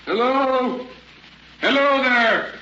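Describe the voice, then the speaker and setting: Eccentric holy wanderer, measured and ringing, solemn preacherly delivery, vintage radio drama. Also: male voice, extremely high pitch, pushed and bright, heavily acted. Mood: weary pleading. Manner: earnest, solemn, preacherly declamation. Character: solemn wandering zealot preaching brotherhood, gentle eccentric man. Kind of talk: old-time radio drama acting. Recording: muffled, noisy old radio recording.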